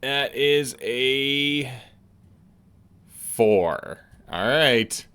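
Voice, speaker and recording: A man casually commenting on a video game as he plays, thinking aloud and halting with many restarts. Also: mid-range voice, animated, pushed and bright, clean tone. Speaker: let's play streamer.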